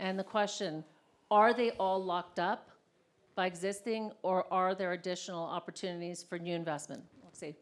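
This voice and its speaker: A woman moderating a panel discussion, briskly handing questions to the speakers. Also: fairly low pitch, fairly even intonation.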